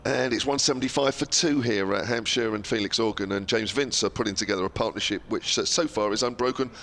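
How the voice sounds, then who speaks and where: Deep-voiced commentator man with a cold. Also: fast, fairly bright tone. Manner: chatty, many "uh" fillers, asks tag questions. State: has a cold, losing his voice. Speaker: radio cricket commentator. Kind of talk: radio cricket commentary and chat.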